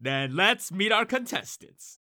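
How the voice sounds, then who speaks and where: Game show host, male: showy, theatrical, high-pitched, slow stretched delivery, audio drama. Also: very animated, pushed and bright, heavily acted. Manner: showy, drawn-out announcer delivery.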